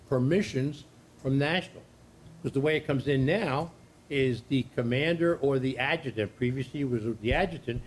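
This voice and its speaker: A man presenting a training workshop to a room of people, loud and repetitive, explaining steps in a plain-spoken way. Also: medium pitch. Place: meeting room with an audience. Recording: echoey room, distant mic, noisy.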